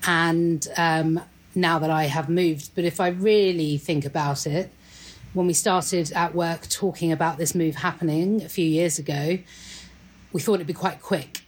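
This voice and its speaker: A woman from Great Britain with a British accent, talking as a guest in a conversational interview. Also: low voice.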